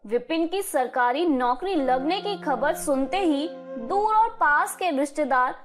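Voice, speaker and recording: A woman's voice: high voice, animated, unhurried, soft background music. A woman narrating a scripted moral story as a storyteller.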